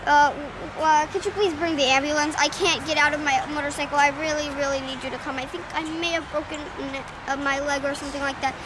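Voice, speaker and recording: A woman's voice: high-pitched, fairly even intonation, fast, few pauses, noisy background, close to an everyday voice. A woman voicing a cheerful young man doll who rides a motorcycle, upbeat and whiny when hurt, in a toy play video.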